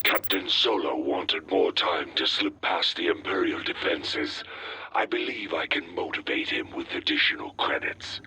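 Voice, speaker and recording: An actor playing a cool, calculating crime lord's lieutenant in a video game, deep and gravelly, reporting formally and in measured phrases. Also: male voice, lively intonation, sharp tone, slight background noise, exaggerated voice. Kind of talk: dramatic video game acting.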